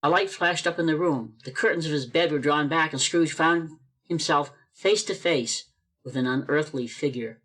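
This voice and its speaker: A man's voice: a little high, normal speed, a bit bright. A man narrating a radio play as a scripted storyteller.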